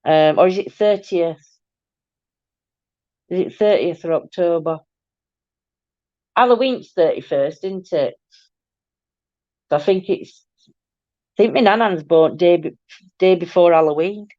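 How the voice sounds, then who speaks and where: A middle-aged woman hosts a casual livestream. Her voice is croaky and a bit nasal, and she rambles affectionately to her viewers. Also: fairly low pitch, a little slow, pauses often, no echo, lo-fi sound. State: croaky, nasal from hay fever. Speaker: livestream host. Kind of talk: casual live-stream chat with viewers.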